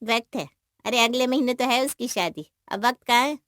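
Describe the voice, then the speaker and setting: A woman's voice: a little high, normal speed, a bit bright, no echo, studio quality, natural voice. A woman voicing a caring but bossy middle-aged mother with an emphatic manner in a family drama. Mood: worried. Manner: scolding, emphatic.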